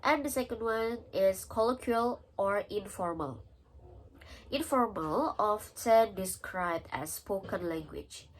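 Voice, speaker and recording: Slow-speaking Indonesian-accented young woman. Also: medium pitch, animated. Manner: hesitant, many pauses and filler sounds. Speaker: student. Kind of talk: answering exam questions in a recorded presentation.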